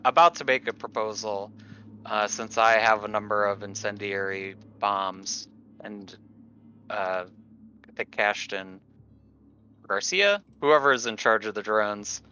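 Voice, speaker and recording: A man playing a tabletop game, talking casually and deliberately with some hesitation over a dull lo-fi mic. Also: lowish voice, sharp tone. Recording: dull lo-fi mic, faint noise.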